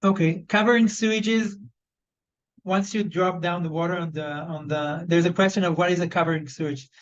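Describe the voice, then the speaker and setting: A man with an Israeli accent and a fairly high voice runs an online job search training. He speaks loosely, full of ums and self-corrections. The video call audio is slightly dull. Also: steady tone, moderate pace. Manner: loose, conversational, lots of fillers and restarts. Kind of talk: live online training session.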